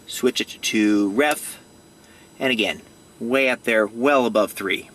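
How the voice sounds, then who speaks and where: Male hobbyist, mid-pitched, halting casual walk-through of gear; noticeable background noise in a vehicle. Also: a bit bright. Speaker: hobbyist showing a radio install. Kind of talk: casual step-by-step demonstration.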